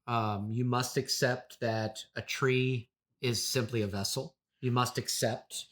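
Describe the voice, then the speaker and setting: A man plays a male wellness influencer in a comedy interview. He is solemn and gushing, offering pseudo-profound wisdom in a halting, earnest manner. His pitch is medium. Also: steady tone, a bit bright.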